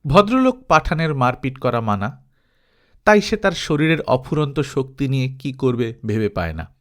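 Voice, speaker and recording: A man reading a literary travelogue aloud as an expressive, dramatized audiobook storyteller. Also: medium pitch, moderate pace. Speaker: audiobook narrator.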